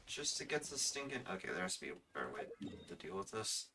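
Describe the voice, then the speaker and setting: A man's voice: low-pitched, very animated, fast, far from the mic, noisy background. A man livestreaming a video game, chatting casually to his viewers and grumbling with mild swearing as he plays. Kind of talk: casual gameplay commentary to chat. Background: video game music.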